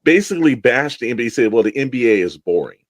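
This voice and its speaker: A man's voice: mid-range voice, sing-song, quick pace, dry recording. Emphatic man on a dry podcast mic. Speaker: podcast co-host. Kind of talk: casual podcast discussion.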